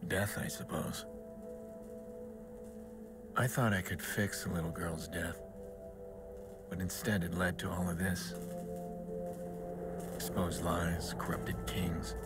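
An actor playing a brooding, hardened male vigilante in a game, delivering a grave, deliberate monologue. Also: deep voice, steady tone. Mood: somber reflection. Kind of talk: dramatic game cutscene narration. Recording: voice under loud music.

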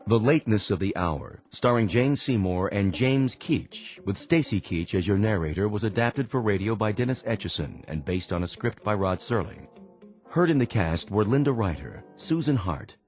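American man, radio announcer, fairly low voice, scripted read, faint music behind. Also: animated, normal speed, a little mellow.

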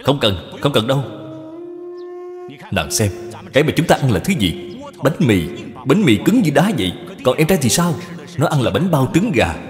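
A man's voice: mid-range voice, sing-song, moderate pace, pauses often, voice pushed up, natural voice. A man voicing a bitter, hard-working young husband who complains indignantly about his treatment. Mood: irritated. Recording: long reverb, music behind.

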